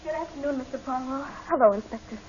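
Quick-talking troubled young lady, acted by a woman. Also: high voice, a crowd in the background, close to an everyday voice. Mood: worried, polite. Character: troubled, polite young woman seeking help. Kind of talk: old radio drama acting. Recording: dull, faintly noisy old radio broadcast.

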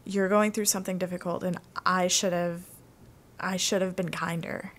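A woman's voice: lowish voice, flat delivery, normal speed, a bit bright. A young woman acting as a frank female college student in an audio drama. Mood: remorseful. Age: young adult. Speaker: student actor.

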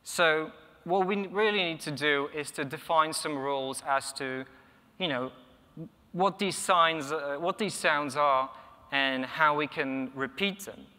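A man's voice: fairly high pitch, measured pace, bright, forceful tone. A young man whose first language is Polish, giving a technical conference talk from a lectern, thoughtful, with many ums and restarts. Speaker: conference speaker. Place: large conference hall, at a lectern. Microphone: lectern microphone. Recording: long hall reverb.

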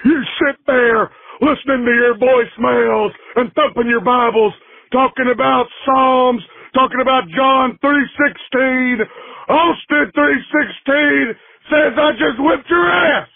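A man voicing a belligerent pro wrestler, declaiming loudly and aggressively over a phone voicemail. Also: extremely high pitch, fairly even intonation, measured pace, gravelly, dry recording, phone-quality sound, full character voice. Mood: belligerent shouting. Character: shouting, belligerent pro wrestler giving a promo. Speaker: voicemail caller. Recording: band-limited phone voicemail.

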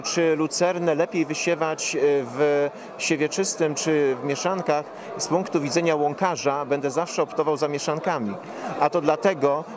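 Higher-pitched middle-aged man, crowd behind. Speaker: university professor, interviewed expert. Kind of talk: expert interview answers. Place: interview stand at a busy indoor forum. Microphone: handheld reporter microphone held out to him. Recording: busy trade event crowd noise.

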